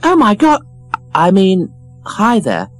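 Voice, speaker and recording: A man doing a shrill, gushing woman. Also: big pitch swings, moderate pace, no room sound, faint music behind. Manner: shrill and overexcited. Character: gushing shrill woman, star-struck and pushy.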